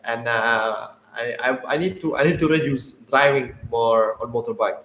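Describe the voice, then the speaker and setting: A Czech man chatting casually on a livestream in halting non-native English, often restarting his sentences. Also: medium pitch, a bit bright, reverberant, slight background noise, poor audio. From Czech Republic. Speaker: guest.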